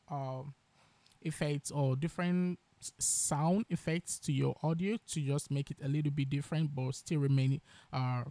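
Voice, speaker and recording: A man hosting a software tutorial, explaining each step as he narrates. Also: fairly high pitch, no echo. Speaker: tutorial host.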